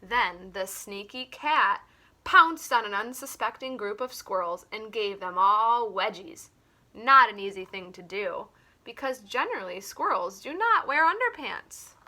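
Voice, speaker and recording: Female children's story reader, teacherly: slow, deliberate, highly varied intonation. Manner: animated, deliberate. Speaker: teacher reading a picture book aloud. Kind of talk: expressive children's story reading.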